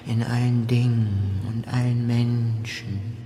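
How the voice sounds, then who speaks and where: A man's voice: medium pitch, flat delivery, slow, mellow, natural voice. A man playing a cult leader who preaches solemnly about God and death in a radio play. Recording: roomy with long reverb.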